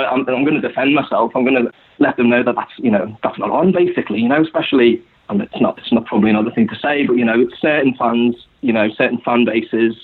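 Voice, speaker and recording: A young Scouse man from Liverpool chats as a podcast guest, his speech looping through fillers and restarts. His voice is husky and a little breathy. The sound comes through thin and tinny, like a phone call. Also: medium pitch. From Liverpool, England. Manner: rambling, full of "you know" fillers. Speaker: guest supporter. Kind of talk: casual podcast conversation.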